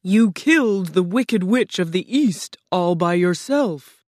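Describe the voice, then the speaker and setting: A woman acts out a huge, stern wizard head from a storybook. He speaks in loud, drawn-out, commanding pronouncements with big swoops of pitch, recorded close and dry. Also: lively intonation, dry recording. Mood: stern, questioning. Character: giant disembodied wizard head, commanding, imposing, stern, male. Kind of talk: storybook character voice.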